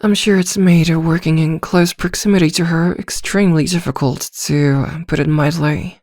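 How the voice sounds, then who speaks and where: A woman playing a composed, gentle therapist in an ASMR roleplay, speaking softly in a measured, reassuring way with thoughtful pauses. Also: low-pitched, fairly even intonation, no room sound, clean studio sound. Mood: analytical, probing. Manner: measured, reassuring, hesitant pauses with soft hums. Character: composed female therapist, gentle, attentive and discreetly probing. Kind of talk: soft-spoken ASMR roleplay acting.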